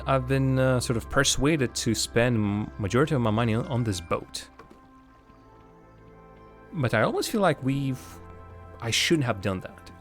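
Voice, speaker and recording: A young man from Latvia, not a native English speaker, thinking aloud as he plays a game on a livestream and answers chat. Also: mid-range voice. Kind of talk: casual live gameplay commentary.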